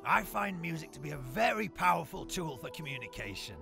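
A man's voice: on the high side, very animated, fairly quick, vocal fry, over music, exaggerated voice. A man voicing a grandiose, zealous reactionary author in a cartoon talk show, with a pompous, verbose, declamatory manner.

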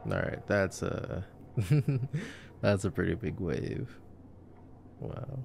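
A man with a low voice and a very heavy creak chats casually in an ASMR boyfriend roleplay. He reacts in a playful, wry way, and his pitch moves around a lot. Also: very animated, extremely slow, a little mellow, a little hiss or hum. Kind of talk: ASMR sleep-aid movie commentary, casual chat.

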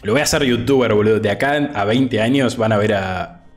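A young Argentine man in his twenties, talking in a somewhat low voice and a relaxed, chatty way. He records on a headset mic at home, with faint music playing behind him. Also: a little fast. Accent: Argentine Spanish. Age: 20s. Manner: laid-back, chatty, says "boludo" often, reads chat aloud. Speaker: streamer. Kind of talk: casual gaming livestream chat. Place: home room, indoors. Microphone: over-ear headset.

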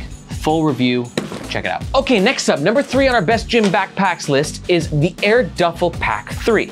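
Animated upbeat man reviewing bags. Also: on the high side. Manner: upbeat, joking, animated with hand demos. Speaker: backpack reviewer and host. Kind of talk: casual product review to camera. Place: indoor studio set at a desk.

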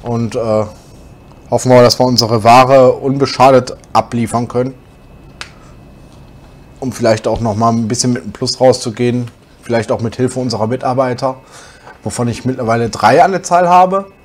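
A young man with a slight cold, hoarse, chatting casually as he plays a truck driving game for his channel. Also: medium pitch, moderate pace. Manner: relaxed, chatty, musing aloud while driving. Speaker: gaming channel host.

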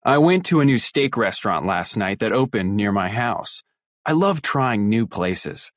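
Male reader, scripted listening clip, expressive pitch, measured and clear, dry studio sound. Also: no echo. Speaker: recorded listening exercise speaker. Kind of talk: scripted read-aloud.

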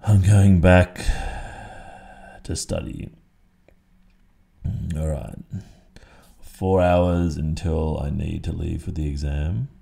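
A man's voice: very deep voice, flat delivery, slow pace, creaky, long pauses, dark tone, exaggerated voice. A young man with an Australian accent playing a stressed, sleepy, sweet-natured student boyfriend in an audio roleplay, muttering drowsily to himself. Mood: grateful, gentle. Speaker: roleplay voice performer.

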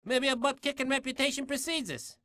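A man's voice: very high-pitched, fairly even intonation, fairly quick, pushed and bright, dry recording, clean studio sound. A man voicing a cocky, wisecracking little undead sidekick in a comic video game, with a showy drawl.

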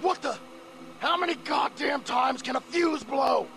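Shouting thug with very high, gravelly pitch. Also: male voice, measured pace, full character voice. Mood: angry, menacing. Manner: shouting, menacing. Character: aggressive gang thug hunting his prey, hot-tempered. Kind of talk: video game enemy barks.

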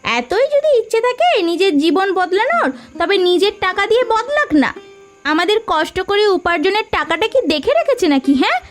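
A woman voicing a scornful, sharp-tongued married sister-in-law in a moral story cartoon, scolding with taunting questions. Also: extremely high pitch, very animated, moderate pace, faint music behind. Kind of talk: dramatic cartoon dialogue.

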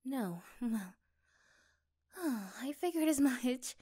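Woman acting an affectionate girlfriend, ASMR roleplay, high voice, very slow, hesitant and gentle. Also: lively intonation, husky, exaggerated voice. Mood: hesitant, curious. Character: affectionate, anxious, curious girlfriend.

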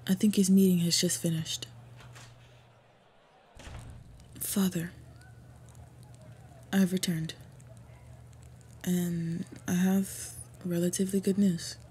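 A woman playing an earnest, respectful young orc princess in a fantasy roleplay audio, speaking softly and haltingly with tender pauses. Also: on the low side, flat delivery, slight background noise, close to an everyday voice. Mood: calm, respectful.

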